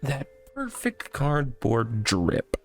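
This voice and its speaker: Man voicing a slow, rambling cardboard-box mascot guy. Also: mid-range voice, wildly swooping pitch, pitched above the performer's own voice, heavily acted. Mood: wistful, awed. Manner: rambling, halting, earnest and boastful. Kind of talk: comedic character read-aloud of a visual novel. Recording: music behind the voice.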